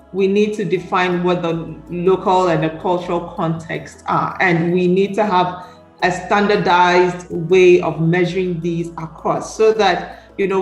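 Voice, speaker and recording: A woman moderating a panel discussion, reflective and questioning, often checking in with the listeners for agreement. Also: lowish voice. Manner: reflective, questioning, seeking agreement with tags like "right?". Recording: roomy echo.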